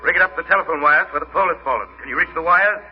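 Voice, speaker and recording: Guy doing a shadowy hero, calm but dramatic, old radio thriller sound, faint music under. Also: fairly high pitch, natural voice. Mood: urgent, instructing. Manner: measured, intense, dramatic. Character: mysterious, commanding male crime fighter, calm and resolute. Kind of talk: 1940s radio acting. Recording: dull old radio recording, music behind.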